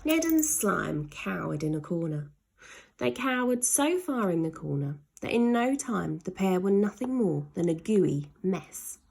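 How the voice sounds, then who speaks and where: A woman's voice: lowish voice, sing-song, unhurried, creaky, darkish tone, slightly rough. A woman narrating a children's story aloud in a dramatic way, leaning hard on sound words. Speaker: storybook reader.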